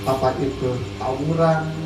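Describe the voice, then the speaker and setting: Chatty officer in an echoey room. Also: male voice, a little high, fairly even intonation, a little slow. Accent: Indonesian, not typically Sundanese. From West Java. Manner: chatty, frequent "ya kan" and "nah" fillers. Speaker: army district officer addressing a radio community meeting. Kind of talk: informal speech to a gathering. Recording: echoey room, distant mic, music behind.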